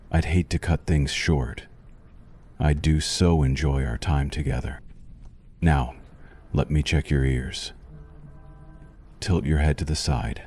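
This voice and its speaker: Man acting as suave male vampire doctor, ASMR roleplay, bottomless pitch, leisurely delivery, teasing, possessive, quietly threatening. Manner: formal, unhurried, teasing, softly menacing. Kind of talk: ASMR roleplay, scripted monologue to the listener.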